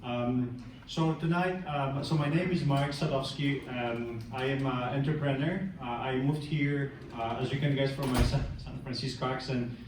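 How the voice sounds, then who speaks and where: Middle-aged Polish tech presenter, hesitant and filler-heavy, slight background hum. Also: male voice, mid-range voice, fairly even intonation, normal speed, distant mic. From Poland. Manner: hesitant, many fillers and restarts. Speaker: tech speaker. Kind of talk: meetup workshop talk. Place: large meeting room. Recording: echoey room, speaker off-mic.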